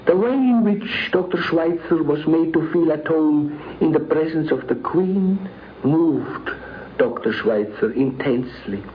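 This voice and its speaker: A man with a somewhat high-pitched voice shares a prepared reminiscence. His storytelling is formal and deliberate. The sound is a muffled mid-century radio recording with faint noise under it. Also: lively intonation.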